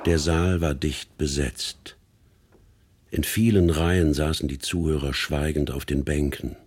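An actor narrating a radio play as a grave literary storyteller, measured, solemn and theatrical. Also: male voice, very deep voice, steady tone, darkish tone, breathy edge, full character voice. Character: grave, literary male narrator of a criminal's life.

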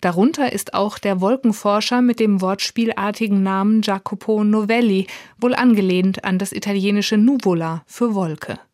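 A woman's voice: medium pitch, steady tone, normal speed, hardly any pauses, a little mellow, no room sound, studio quality. A woman reading a scripted radio book review as a critic.

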